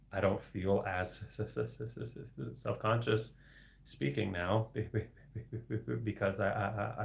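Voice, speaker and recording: A young man hosting a casual solo livestream, speaking with a heavy stutter full of sound repetitions and blocks and breaking into soft laughs. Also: fairly low pitch, creaky. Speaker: livestream host reading viewer questions.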